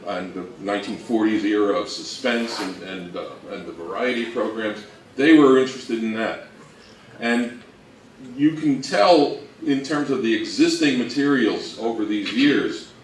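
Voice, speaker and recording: A man giving an informal, conversational presentation, explaining things and posing rhetorical questions as a co-presenter. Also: fairly low pitch. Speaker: co-presenter and old-time radio researcher. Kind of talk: informal slide presentation talk. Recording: echoey room, distant mic, faint noise.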